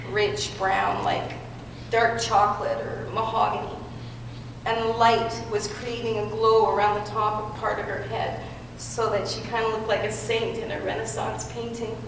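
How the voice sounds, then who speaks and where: A woman giving a prepared, earnest speech at an awards ceremony, telling her own story in a measured way. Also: fairly high pitch, creaky. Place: large hall with audience. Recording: echoey hall from far off, background noise.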